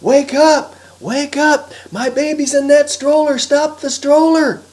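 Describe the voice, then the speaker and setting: Woman doing a frantic father, high and yelling. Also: fairly even intonation, slow pace. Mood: panicked yelling. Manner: loud, urgent, yelling. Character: Harry, frantic, careless young father, defensive and shouting. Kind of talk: live storytelling character voice.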